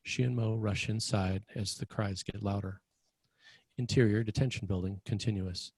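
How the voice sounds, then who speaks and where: A man narrating scene directions for a screenplay table read, with a slightly husky voice. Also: lowish voice, fairly even intonation, moderate pace, a little mellow, no echo. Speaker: narrator reading stage directions.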